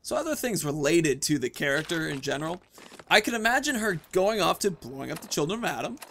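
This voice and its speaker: A man in his thirties giving chatty, animated Let's Play commentary, telling a roleplay story and stressing key words. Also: on the high side, fast, creaky, slight background noise. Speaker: gaming video host.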